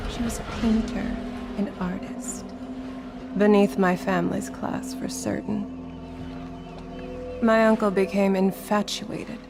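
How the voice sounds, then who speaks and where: An actress playing a refined young aristocratic woman who tells a dark story in a game. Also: mid-range voice, creaky. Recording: game music behind.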